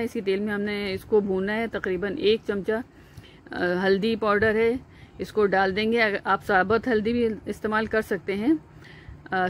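A woman with a Pakistani Urdu accent, hosting a home cooking video and calmly explaining each step at the stove, with frequent fillers and restarts. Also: medium pitch, slight background noise. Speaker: cooking channel host.

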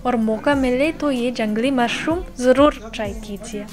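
A woman's voice: a little high, measured pace. A young woman giving upbeat, informative voice-over for a travel vlog. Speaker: travel vlogger. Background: music.

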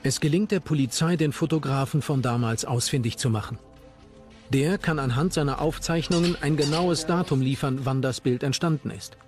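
A man's voice: fairly high pitch, moderate pace, a little mellow, soft background music. A man reading scripted narration as the narrator of a true crime documentary.

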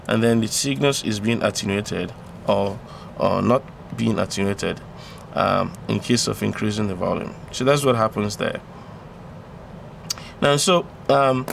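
A man with a gravelly, mid-pitched voice talks informally through a technical tutorial. He goes step by step, often repeating a phrase to drive it home. Faint noise sits behind the recording. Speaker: electronics tutor. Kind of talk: informal explanatory tutorial, talking through a diagram.

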